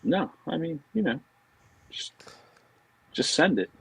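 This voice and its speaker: A man chatting casually as a podcast guest, talking in a loose, halting way. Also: fairly high pitch, sing-song, creaky, fairly bright tone, slightly rough, dry recording, rough recording. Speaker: podcast guest, fishing brand marketing director. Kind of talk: casual chat.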